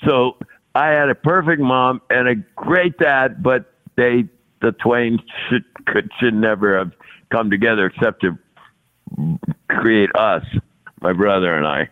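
A man's voice: medium pitch, measured pace. Rambling man in his sixties, tinny line. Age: 60s. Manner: rambling, repeats words, laughs often. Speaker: podcast guest. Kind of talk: casual interview conversation.

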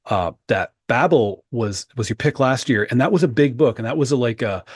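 A man's voice: mid-range voice, moderate pace, no echo. A man co-hosting a podcast, asking questions in a wry, conversational way. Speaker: podcast co-host. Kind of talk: casual interview chat.

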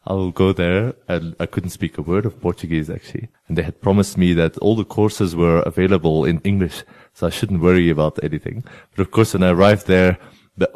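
Man, very low voice, measured storytelling, interview guest. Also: darkish tone. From Belgium. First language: Flemish. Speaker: guest, yoga teacher.

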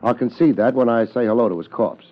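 An American actor playing a laid-back, wry, tough private detective in 1950s radio drama style, with dry wisecracks. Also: male voice, medium pitch, quick pace, close to an everyday voice. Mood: cool, probing. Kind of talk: 1950s radio detective acting, narrating and in dialogue. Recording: dull vintage 1950s radio recording.

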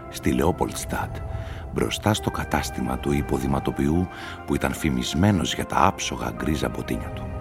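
A man's voice: extremely low pitch, creaky. A man reading scripted literary narration as the storyteller of a radio play. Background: music.

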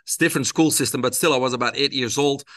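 A man from Belgium, a guest on a podcast, tells his life story in a relaxed way with frequent hesitations. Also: medium pitch, fast talker, no room sound. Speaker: interview guest. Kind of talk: casual storytelling in an interview.

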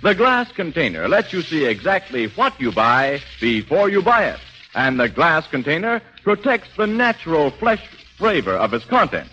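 An American male announcer with a bright, rather high voice and animated intonation. He reads scripted copy emphatically in 1940s radio style. The old recording is muffled. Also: moderate pace. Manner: polished, emphatic. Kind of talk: 1940s radio sponsor read.

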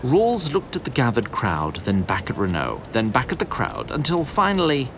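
A man's voice: mid-range voice, wildly swooping pitch, normal speed, background noise. A man giving scripted story narration in a theatrical, literary and wry manner.

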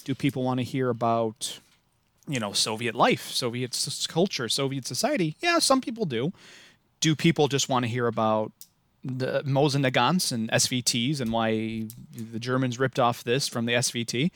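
A man's voice: medium pitch, expressive, moderate pace, a bit bright, no echo. Enthusiastic Midwestern man, dry close mic. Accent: American Midwestern. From American Midwest. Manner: rambling, enthusiastic, many restarts. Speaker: podcast guest, living history reenactor. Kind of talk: casual podcast interview.

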